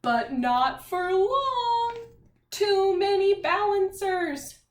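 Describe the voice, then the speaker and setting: A woman teacher talking young children through a read-aloud and math lesson in an animated, encouraging, sing-song way. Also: very high-pitched, very slow. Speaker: primary school math teacher. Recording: echoey room, faint background noise.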